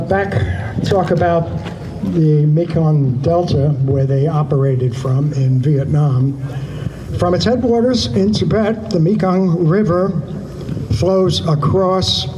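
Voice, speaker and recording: A man giving a talk in an aircraft hangar, reading prepared notes with asides, halting with many fillers and restarts. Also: a little high. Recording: noisy hangar with vehicle sounds.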